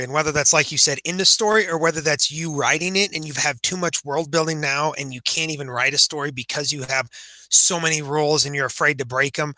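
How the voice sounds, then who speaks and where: Bright-voiced, higher-pitched man chatting. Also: dry recording, poor audio. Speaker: podcast co-host and fantasy author. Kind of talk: casual podcast chat.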